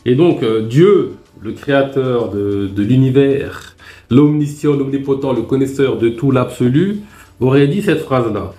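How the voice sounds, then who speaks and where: A man's voice: mid-range voice, measured pace, dark tone, roomy sound, soft background music. A man hosting a live stream, earnestly explaining his views in a casual spoken monologue.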